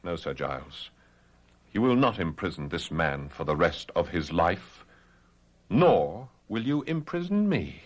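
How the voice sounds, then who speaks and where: An actor playing a commanding gentleman doctor and mesmerist in radio drama, deliberate and authoritative. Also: low-pitched, big pitch swings, heavily acted. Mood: firm defiance. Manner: deliberate, authoritative, hypnotic insistence. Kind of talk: 1970s radio drama acting.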